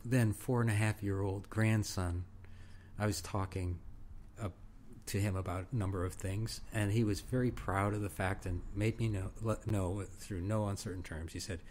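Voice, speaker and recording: A man in his sixties, a folk singer-songwriter, talking softly and hesitantly with many fillers. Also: fairly low pitch, fairly even intonation, normal speed, a little hiss or hum. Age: 60s. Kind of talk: relaxed chat, then singing with guitar. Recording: guitar music behind.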